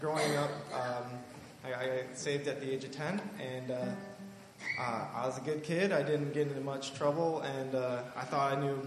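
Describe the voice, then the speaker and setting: A young man in his twenties from Michigan, a Bible school student giving a personal testimony in an earnest, halting way with many fillers. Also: mid-range voice, steady tone, over music, low-quality recording. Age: 20s.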